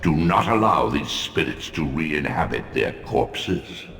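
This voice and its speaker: An actor playing an ancient, all-knowing spirit mentor in a video game, grave and commanding, with a solemn, oracular delivery. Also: male voice, a bass voice, lively intonation, slow, exaggerated voice. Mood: grave warning. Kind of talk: dramatic video game voice acting. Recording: long cavernous reverb, music behind.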